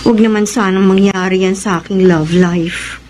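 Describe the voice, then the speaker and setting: A Filipino woman playing a hopeful young woman stubbornly in love in a radio drama, expressive and a little defensive. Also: mid-range voice, slight background noise, close to an everyday voice. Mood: rueful.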